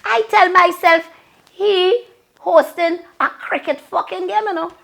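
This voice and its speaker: High-voiced profane Trinidadian woman. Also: measured pace, gravelly, sharp tone. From Trinidad and Tobago. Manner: blunt, profane, Creole-inflected. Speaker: vlogger and longtime event host. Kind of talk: casual ranting commentary to camera.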